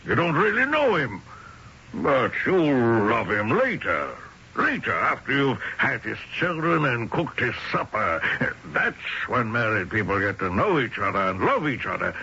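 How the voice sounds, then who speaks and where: Domineering old-country father for radio drama: argumentative, sharp-edged voice with sweeping, animated inflection. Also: mid-range voice, unhurried, breathy edge, heavily acted. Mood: indignant, lecturing. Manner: argumentative, scolding, full of rhetorical questions. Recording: muffled, noisy old radio broadcast.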